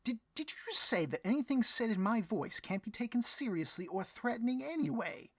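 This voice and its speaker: Pompous, husky-voiced supervillain boss. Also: male voice, very high voice, sing-song, brisk, no room sound, exaggerated voice. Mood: offended. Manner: grandiose, melodramatic, petulant speechifying. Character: pompous, bloviating, vain, easily riled supervillain boss. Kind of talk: comedic audio roleplay, theatrical monologue.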